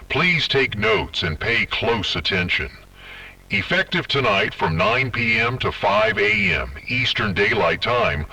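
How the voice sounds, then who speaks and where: A man's voice: lowish voice, bright, forceful tone, husky, far from the mic, rough recording. A man reading a scripted emergency directive in a stern, commanding, official tone. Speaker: emergency broadcast announcer.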